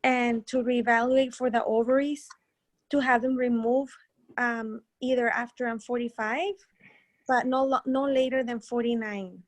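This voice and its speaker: A woman's voice: a little high, fairly even intonation, a little slow. A woman in her forties asking a question in a Q&A session, hesitant with restarts. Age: 40s. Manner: hesitant, with nervous laughs and restarts. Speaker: caller.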